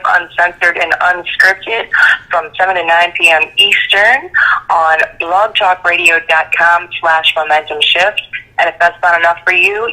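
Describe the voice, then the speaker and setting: A woman's voice: fairly low pitch, bright, forceful tone, poor audio. A woman guesting on a sports podcast, chatting in an upbeat, articulate, playful way, like someone used to promoting a show.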